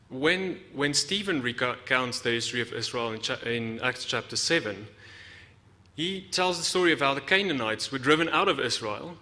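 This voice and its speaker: A man from South Africa giving a prepared academic lecture, explaining in a measured way with deliberate emphasis. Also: mid-range voice, sharp tone. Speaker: academic researcher giving a talk. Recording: reverberant hall.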